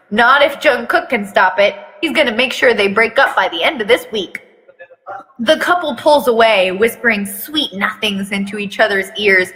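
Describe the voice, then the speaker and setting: A woman's voice: medium pitch, pushed and bright, in a large hall, rough recording. A woman giving a theatrical, mocking dramatic reading of a story, breaking off for sarcastic asides. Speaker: fan fiction reader on a live video.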